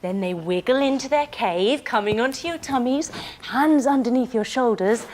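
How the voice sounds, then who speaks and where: A woman leading a children's yoga story in a playful, expressive, encouraging way, acting out the movements and sounds as she goes. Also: a little high, normal speed, a little hiss or hum. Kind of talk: animated storytelling lesson for young children.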